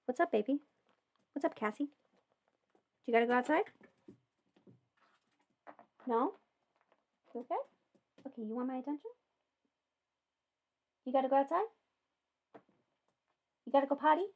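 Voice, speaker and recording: A woman with a fairly high voice chats casually as a livestream host. She rambles in an informal way, thinking out loud as she talks. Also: measured pace, pauses often. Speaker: livestream host and voice performer answering viewer questions. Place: indoors at a desk at home.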